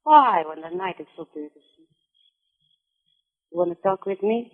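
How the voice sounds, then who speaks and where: Coy frontier temptress on old radio. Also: female voice, fairly low pitch, expressive, moderate pace. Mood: coy, teasing. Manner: coy, teasing, forward. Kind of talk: 1950s radio drama acting. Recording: muffled, narrow-band old radio recording.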